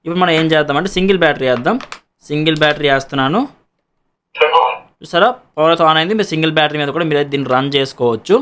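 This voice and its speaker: Very fast-talking man demonstrating a product. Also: a little high, a bit bright. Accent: Telugu. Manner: explanatory, repetitive, mixes in English words. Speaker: product reviewer and seller. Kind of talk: casual product demonstration to camera.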